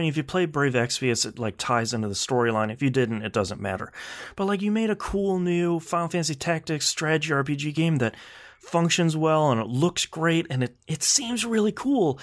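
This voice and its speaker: A man co-hosting a casual gaming podcast, talking in a blunt, slangy way with animated complaints. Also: fairly high pitch. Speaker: podcast co-host.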